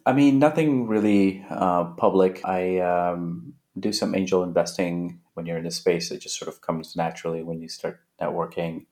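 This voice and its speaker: A man's voice: sits low, normal speed, few pauses, a little mellow. A man in his late thirties from Afghanistan, a podcast guest talking casually with many restarts and fillers. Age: late 30s. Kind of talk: casual interview chat.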